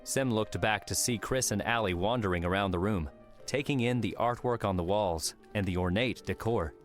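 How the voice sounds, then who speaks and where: Male narrator, scripted novel reading, fairly low register, light music bed. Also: moderate pace. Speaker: audiobook narrator. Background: soft music.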